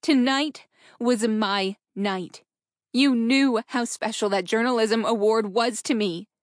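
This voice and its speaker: A woman's voice: fairly high pitch, slow, no room sound, clean studio sound. A woman voicing an anguished, angry wife in an audiobook, accusing and emotional as she confronts her husband. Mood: bitter hurt. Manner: accusing, emotional, pleading for truth. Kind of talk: audiobook character dialogue.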